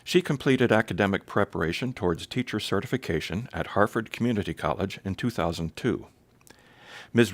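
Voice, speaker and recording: Man narrator, low voice, formal scripted intro, dry studio sound. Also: no echo. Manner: formal, measured reading.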